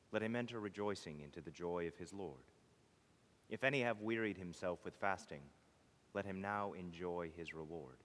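Deep-voiced man reading in long church reverb. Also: a bit bright. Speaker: clergy reader. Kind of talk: solemn liturgical homily reading.

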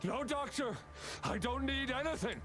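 An actor playing a distraught middle-aged father who pleads and shouts for his daughter. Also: very high-pitched, normal speed. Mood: frantic.

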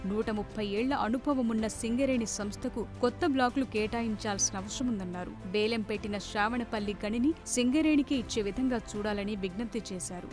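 A woman narrating a scripted news report in a brisk, formal read. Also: fairly high pitch. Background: news music.

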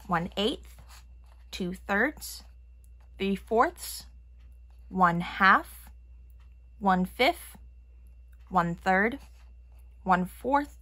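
Woman, children's storybook read-aloud, unhurried pace, animated intonation, expressive delivery. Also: mid-range voice. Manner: expressive, acting out characters' lines. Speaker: storybook reader.

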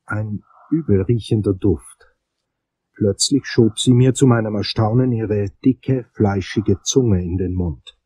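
A man playing a hard-boiled investigator, narrating a crime audio drama in a husky, dramatic, suspenseful way. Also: on the low side, slow pace, dark tone, no room sound. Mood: tense, brooding. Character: hard-boiled male investigator telling his dark case.

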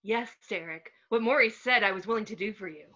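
A woman's voice: mid-range voice, a little fast, pushed and bright. A woman playing a pompous, fussy fashion mogul villain in an amateur comedy script read over video call. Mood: grandiose, fussy. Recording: slightly dull video-call audio.

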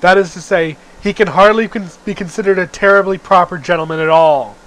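A man's voice: sits high, fairly quick, fairly bright tone, low-quality recording. A young man giving an amateur, theatrical read-aloud of a story, with the odd stumble. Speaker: reader of a webcomic read-aloud.

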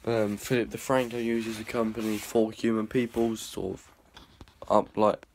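A man's voice: on the low side, little pitch movement, normal speed, a little mellow, a little hiss or hum. A young man, rambling and swearing in a casual vlog filmed on a phone held at arm's length. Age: young adult. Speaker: vlogger. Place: small indoor rooms and a hallway.

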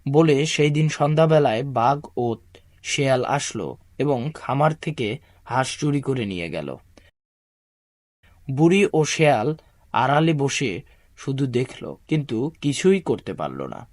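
A male narrator reads a scripted fable for a cartoon. His voice is medium in pitch and recorded close and dry, in a storybook style. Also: animated, unhurried, pauses often, darkish tone, no room sound.

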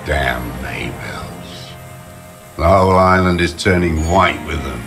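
An actor playing a bitter, contemptuous old deserter in a video game, gravelly and muttering with scorn. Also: male voice, extremely low pitch, slow pace. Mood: bitter, wistful. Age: older. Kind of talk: dramatic video game voice acting. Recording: music under the voice. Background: background music.

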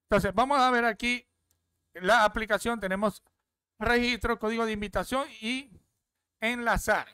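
A young man chats his way through a screen-share tour of an app from a desk at home. His voice is smooth and clean, and it sounds dry and close. He keeps checking in with his viewers as he goes. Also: very high voice, steady tone, normal speed, long pauses, bright, forceful tone, no echo. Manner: chatty, repeats "¿ok?" often. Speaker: crypto app reviewer. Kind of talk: casual screen-share walkthrough.

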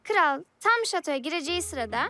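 A woman's voice: high-pitched, big pitch swings, fairly quick, bright, forceful tone, soft background music, full character voice. A woman voicing a headstrong, imaginative young cartoon girl who talks assertively and expressively. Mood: storytelling. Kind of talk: children's cartoon dubbing.

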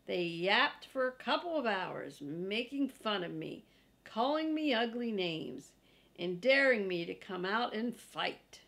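Older woman reading aloud, unhurried. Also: mid-range voice, very animated, gravelly, a bit bright. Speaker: storybook reader. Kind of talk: read-aloud storytelling for children. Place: living room, seated in an armchair.

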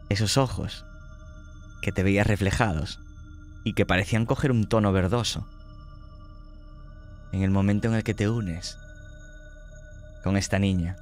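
A man with a Spanish accent narrating a tabletop horror game as game master, slow, descriptive and dramatic. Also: deep voice.

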